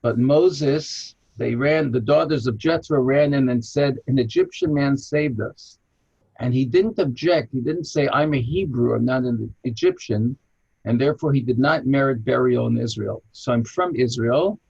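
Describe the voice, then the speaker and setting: An American man from Chicago, a rabbi and archaeologist, answering interview questions with animated, anecdotal storytelling. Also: normal speed. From Chicago, USA. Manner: animated anecdotal storyteller, lively jumps in pitch. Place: home in an old city, remote call. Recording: muffled, husky lo-fi line.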